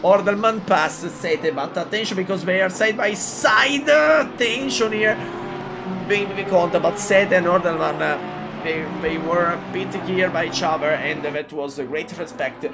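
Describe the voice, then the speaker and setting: A man from Italy with an Italian accent, calling a live sim race in halting English with many fillers and excited jumps. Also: high-pitched, over loud music. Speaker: race commentator. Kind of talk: live sim racing commentary.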